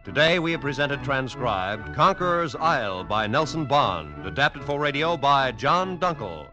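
A man's voice: mid-range voice, sing-song, measured pace. An American man reading scripted announcements in vintage radio announcer style. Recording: vintage radio broadcast, music underneath.

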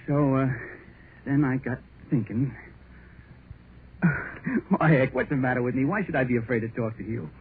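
An actor playing an excited, nervous young American husband in a 1930s radio drama, gushing and rambling in slang on a scratchy recording. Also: male voice, mid-range voice, normal speed, long pauses, slightly rough, narrow, tinny audio.

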